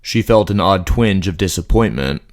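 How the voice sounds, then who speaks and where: Male audiobook narrator, lowish pitch, scripted fantasy storytelling. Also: lively intonation, normal speed.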